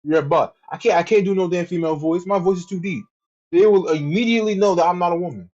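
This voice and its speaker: A male streamer talking off the cuff to his chat, swearing freely and tripping over his own words as he loops back on phrases. His voice sits a little higher than most men's. The stream audio is dull and lo-fi. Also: quick pace, a little mellow. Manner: rambling, profane, repeats himself. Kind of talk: casual livestream chat.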